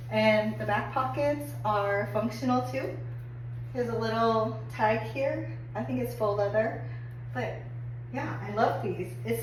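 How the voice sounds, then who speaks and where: A woman getting over a cold and a little hoarse, chatting upbeat through a clothing try-on review with lots of "like"s. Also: a little high. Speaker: fashion haul vlogger. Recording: echoey room, distant mic, faint hum.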